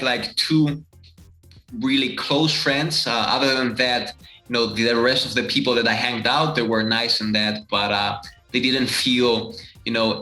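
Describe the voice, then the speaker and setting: A man from Greece in his thirties, a fitness coach answering interview questions in a relaxed, reflective way with many fillers. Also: medium pitch, roomy sound, poor audio. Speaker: fitness coach and channel host.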